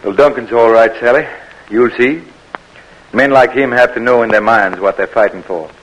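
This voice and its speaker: An actor playing a gentle, fatherly plantation owner with a calm, reassuring manner, in an old radio drama. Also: medium pitch, normal speed, a little hiss or hum, lightly acted, rough recording. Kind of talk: 1940s radio acting.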